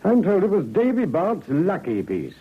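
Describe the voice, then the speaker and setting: An actor playing a brusque, businesslike police inspector who questions people curtly in a vintage radio drama. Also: male voice, high voice, wildly swooping pitch, moderate pace, husky, heavily acted. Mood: dry, probing. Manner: curt, clipped questioning. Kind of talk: 1950s radio drama acting. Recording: thin, narrow old radio recording.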